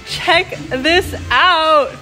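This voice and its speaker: Casting: young female vlogger, high voice, unhurried pace, playful and excitable, casual to-camera chat. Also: faint music behind.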